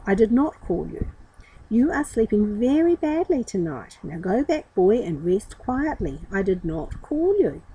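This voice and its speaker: Woman reading a kindly, patient old priest, mellow. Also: mid-range voice, sing-song, a little slow, slightly rough, heavily acted, rough recording. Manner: gentle, patient, instructing. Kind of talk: children's Bible storybook reading.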